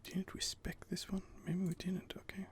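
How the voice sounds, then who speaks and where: A man's voice: sits high, completely flat delivery, moderate pace. A man whispering casual gaming ASMR commentary while he reads out game options and muses over them. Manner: soft whispering, casual and musing.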